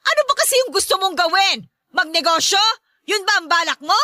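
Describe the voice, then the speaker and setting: A woman's voice: extremely high pitch, expressive, fairly quick, pushed and bright, no room sound, clean studio sound, full character voice. An actress playing a harsh, belittling Filipino mother in a radio drama, scolding and demanding. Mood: nagging. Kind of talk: Tagalog radio drama acting.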